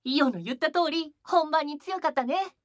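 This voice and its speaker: A man's voice: very high-pitched, normal speed, no room sound, clean studio sound. A man playing a sweet, cutesy, girlish young male idol in a voice drama, bubbly in manner. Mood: happy. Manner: cute, bubbly, refers to self by name. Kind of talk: Japanese voice drama acting.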